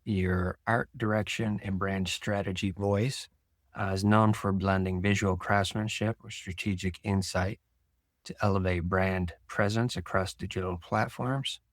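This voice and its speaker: An American man with a deep, low voice hosts an interview podcast. The sound is dry, and his delivery is conversational as he leads the discussion. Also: steady tone, measured pace, dry recording. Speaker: podcast host. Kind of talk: interview hosting, conversational with read-out introduction.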